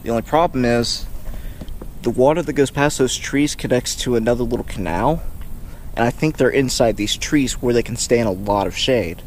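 A young man talks easily about his fishing day, recorded outdoors on a small action camera on a kayak. Water and boat noise sit clearly behind his voice. His pitch is in a typical male range. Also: normal speed. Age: young adult. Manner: relaxed, chatty commentary while fishing. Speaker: fishing vlogger. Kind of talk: casual outdoor vlog narration. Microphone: action camera mounted on the kayak.

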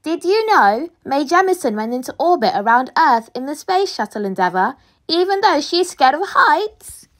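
A woman reading a children's picture book aloud with expressive, dramatic storytelling delivery. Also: high-pitched, normal speed. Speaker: storybook reader.

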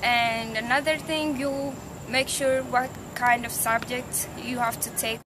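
A woman's voice: on the high side, fairly even intonation, measured pace, over loud music. A young woman with an Ethiopian accent giving advice to camera. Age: young adult. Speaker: international college student.

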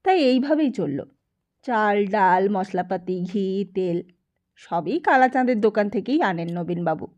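A woman reading a short story aloud in an expressive, scripted narration style. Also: medium pitch, unhurried. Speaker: audiobook narrator. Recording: dull lo-fi narration recording.

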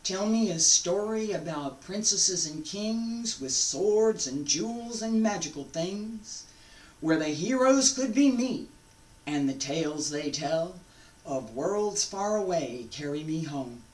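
Echoey, slow-spoken older woman. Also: lowish voice, animated, darkish tone. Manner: deliberate, halting delivery with short phrases and pauses. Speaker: founder of a radio drama show. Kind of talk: informal invitation talk to camera. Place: carpeted room at home, indoors. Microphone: distant camera microphone. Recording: echoey room, distant microphone.

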